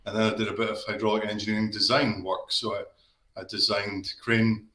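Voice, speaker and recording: A man's voice: lowish voice, little pitch movement, brisk, bright, forceful tone, reverberant. A man in his fifties with an Aberdeen Scottish accent, chatting as a guest on a live stream, rambling with lots of restarts and fillers. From Scotland. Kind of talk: casual live-stream chat. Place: home office. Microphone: video call.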